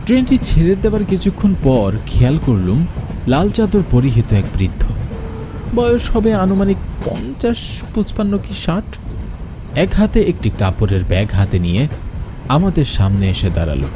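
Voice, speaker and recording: Man, dramatic horror storytelling, expressive, widely swinging pitch, loud train sounds behind. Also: moderate pace, noisy background. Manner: expressive, theatrical. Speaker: narrator and lead character. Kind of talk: dramatic first-person horror story narration.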